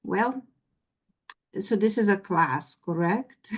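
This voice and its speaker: A woman giving an informal panel answer as a library manager, with halting, hesitant phrasing. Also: medium pitch, lively intonation.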